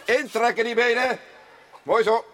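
Een acteur die in een hoorspel een zwemleraar speelt die luid korte, aanmoedigende bevelen roept. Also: male voice, very high-pitched, measured pace, a faint crowd. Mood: commanding, encouraging. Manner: loud, clipped commands. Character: loud encouraging swimming coach calling out drills. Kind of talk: Dutch radio play, shouted instructions. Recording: noisy recording with pool ambience.

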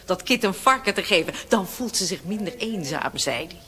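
A woman's voice: fairly low pitch, animated, moderate pace, crowd noise, lightly acted. An actress playing a fussing, possessive village priest's housekeeper in a radio play, scolding and motherly. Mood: scornful, indignant. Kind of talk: Dutch radio play acting.

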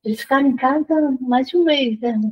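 A woman's voice: high voice, brisk. A woman who is a university professor, recounting a story to a group with many restarts. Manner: recounting with restarts and false starts. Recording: dull lo-fi recording.

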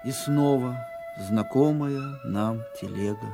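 Very slow, mournful narrator. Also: male voice, medium pitch, gravelly, over music. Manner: broken, lingering phrases. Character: solemn narrator of a tragedy, mournful. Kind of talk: scripted dramatic narration.

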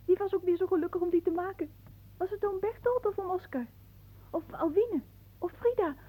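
An actress playing a gentle, inquisitive young niece in a radio play, speaking with innocent attentiveness. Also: female voice, extremely high pitch, fairly quick. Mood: curious, teasing.

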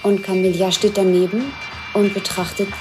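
A woman's voice: mid-range voice, level tone, moderate pace. A woman describing film scenes as they unfold, in observational commentary, with music behind her.

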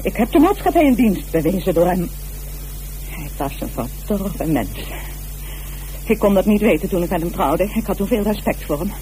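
A woman's voice: medium pitch, very animated, normal speed, mellow, slight background noise, close to an everyday voice, poor audio. An actress playing a defensive, self-righteous wife and mother, in radio drama style. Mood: agitated, self-righteous. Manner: haughty, insistent, breaking into agitated self-justification.

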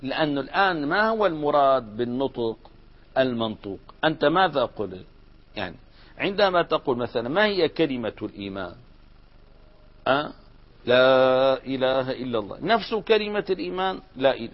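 A man's voice: mid-range voice, animated, brisk. A man teaching an Arabic grammar lesson, explaining step by step and putting questions to the class. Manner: explains step by step, asks students questions and answers them. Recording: dull lo-fi recording.

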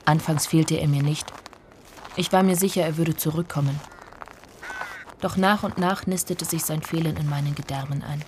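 An actress playing a woman who remembers her lost lover, narrating a literary radio drama in a hushed, deliberate, intimate way. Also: low voice, a little hiss or hum, close to an everyday voice. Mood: brooding. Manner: hushed, deliberate, often breathy.

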